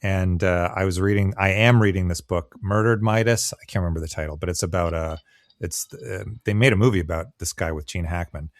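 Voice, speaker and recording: A man's voice: deep voice, fairly quick, dry recording, studio quality. A middle-aged man from Ontario, Canada, chatting casually as a guest writer on a podcast.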